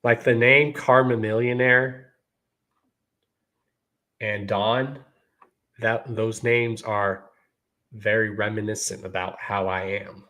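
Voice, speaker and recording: A man in his thirties hosts his own show, speaking in a fairly low voice. He keeps it relaxed and conversational, sprinkling "you know" and "y'all" through a casual monologue to the people watching. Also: takes it slowly, pauses often. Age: 30s. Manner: laid-back, repeats "you know" and "y'all". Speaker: podcast host.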